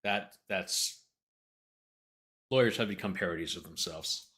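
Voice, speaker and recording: A man's voice: deep voice, a little slow, fairly bright tone. A man hosting a livestream, giving casual, wry reaction commentary. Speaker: lawyer commentator, livestream host.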